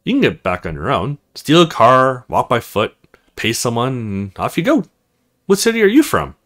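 A man's voice: medium pitch, sing-song, moderate pace, a bit bright. A man voicing a frank, chatty young female agent in a visual novel, with a teasing, conversational manner. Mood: skeptical.

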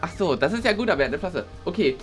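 A man's voice: high voice, lively intonation, very fast, over music. A man playing a clueless, cheeky, playful schoolboy in a kids' comedy sketch. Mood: earnest, silly. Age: young adult. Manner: naive, playful. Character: goofy, clueless, cheeky schoolboy, always angling for food. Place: small classroom.

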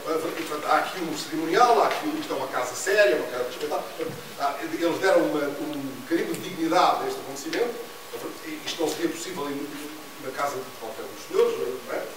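A middle-aged man on a panel, talking quickly and animatedly with many restarts, recorded from a distance in a library event room. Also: on the high side, expressive. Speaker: panelist speaking about radio reporting. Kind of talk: fast, rambling public talk with many restarts. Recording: echoey hall, distant mic, noise.

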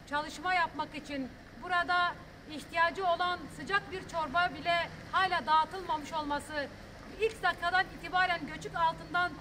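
A woman in her thirties giving an earnest press statement outdoors in front of rubble, with halting restarts. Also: very high-pitched, noisy background.

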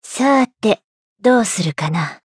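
A woman's voice: medium pitch, animated, a little slow, lightly acted. Echoing, cool young woman fighter, played by an actress. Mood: cool, confident. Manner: blunt, confident. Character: tough, cool young woman martial arts master in youthful form. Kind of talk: video game character voice lines. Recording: echoey, long reverb effect.

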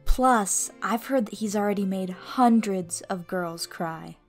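A woman's voice: mid-range voice, slow. A woman voicing a teasing, gossipy teenage schoolgirl in a manga dub, knowing and matter-of-fact. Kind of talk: manga fandub acting. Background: music.

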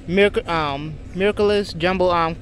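A woman's voice: on the low side, moderate pace. A woman vlogging casually while shopping, talking with lots of ums and hesitations. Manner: chatty, hesitant with many ums. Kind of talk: casual family vlog chat. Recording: noisy phone recording.

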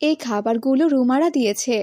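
Woman playing a high-voiced kindly village mother. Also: a little fast, mellow, dry recording, studio quality, close to an everyday voice. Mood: gentle, encouraging. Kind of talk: children's moral cartoon dubbing and narration.

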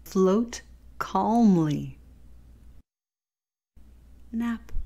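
A woman voicing a calm, soothing mother capybara in a storybook, measured and patient. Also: medium pitch, gravelly, full character voice. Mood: patient, coaxing.

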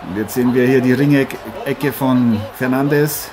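A man's voice: medium pitch, steady tone, measured pace, crowd noise, lightly acted. A man playing a brash, chummy boxing figure in an improvised mock sports radio play, leaning on repeated catchphrases. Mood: excited, chummy. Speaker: boxing commentator. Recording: clearly noisy amateur recording.

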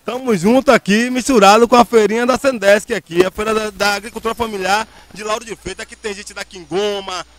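A man's voice: sits high, steady tone, fairly quick, hardly any pauses. A man, speaking off the cuff in a street interview at an outdoor market. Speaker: local farmer being interviewed. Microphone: handheld reporter microphone.

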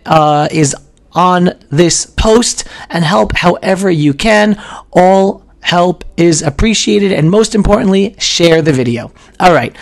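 A hoarse man in his forties teaching in a casual live stream from a small room at home, talking emphatically and often repeating himself. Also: high-pitched. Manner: animated and emphatic, with frequent repeats and restarts. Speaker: video host teaching about prayer. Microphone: webcam, close framing.

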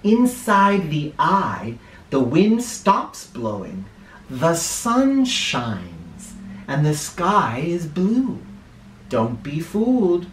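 Expressive American man reading aloud, roomy sound. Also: a little high, slight background noise. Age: middle-aged. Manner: expressive, dramatic, deliberate emphasis. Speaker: children's picture book reader and host. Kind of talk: read-aloud for children with spoken asides. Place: small living room, indoors. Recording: roomy, echoey living room sound.

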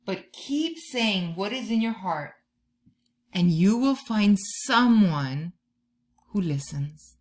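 A woman in her thirties doing an expressive children's read-aloud, drawing out key words like a teacher talking to young listeners. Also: mid-range voice, slow pace. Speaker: teacher reading a picture book to children. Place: bedroom or room at home, indoors.

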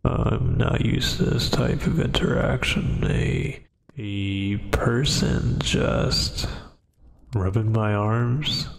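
A man voicing a brooding, menacing yet hesitant werewolf in an ASMR roleplay, with a gravelly, breathy, half-whispered voice and halting delivery. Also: medium pitch, a little hiss or hum, exaggerated voice. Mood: guarded, softening. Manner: slow, halting, brooding, with long pauses. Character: dominant male werewolf, menacing yet hesitant, fighting hungry cravings.

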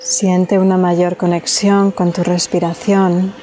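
A woman from leads a guided meditation in a calm, soothing way, leaving long pauses between phrases. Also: lowish voice, a little hiss or hum.

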